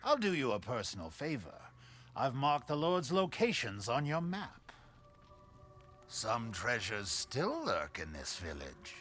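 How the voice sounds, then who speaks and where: An actor playing a courteous, theatrical merchant in a video game, speaking formally and ingratiatingly. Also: male voice, medium pitch, huge pitch swings, a little slow, fairly bright tone, a little hiss or hum. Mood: suave, obliging. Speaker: shopkeeper. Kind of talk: video game character acting.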